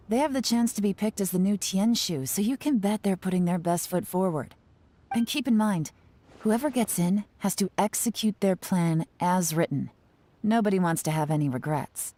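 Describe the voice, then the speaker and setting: A woman voicing a cool, composed assessment officer in a video game, speaking in a calm, measured, businesslike way. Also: medium pitch. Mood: wry.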